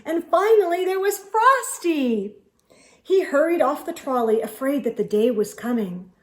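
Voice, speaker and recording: A woman storyteller reading a children's book aloud expressively, with deliberate phrasing and dramatic emphasis. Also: sits high, expressive.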